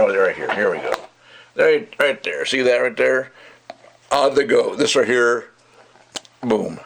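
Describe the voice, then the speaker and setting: A middle-aged man giving a casual, unscripted hands-on product review, rambling and thinking aloud as he goes. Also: lowish voice. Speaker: audio gear reviewer.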